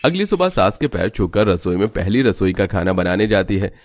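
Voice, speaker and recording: A man's voice: sits low, very animated, moderate pace, no echo. A man giving scripted narration for a cartoon family story as its narrator.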